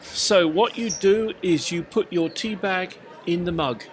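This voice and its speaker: A man's voice: high voice, measured pace, a little hiss or hum. A middle-aged man with an English accent, chatting casually as a podcast host in an emphatic, mock-pompous way. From England. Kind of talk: casual anecdotes and mock-instructional chat.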